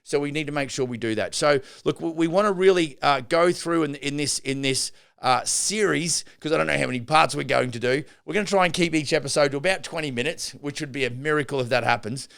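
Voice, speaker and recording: A man's voice: on the high side, fast talker, gravelly, few pauses, fairly bright tone, clear, resonant tone. A middle-aged man and builder hosting a business podcast, talking off the cuff and rambling through restarts and fillers. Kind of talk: unscripted business podcast monologue.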